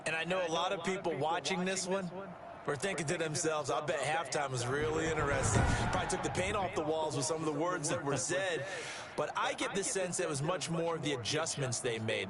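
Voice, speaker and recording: A man's voice: a little high, a little fast, a loud crowd, lightly acted. A man playing a chatty, analytical TV football color commentator in a sports video game, explaining conversationally. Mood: reflective, approving.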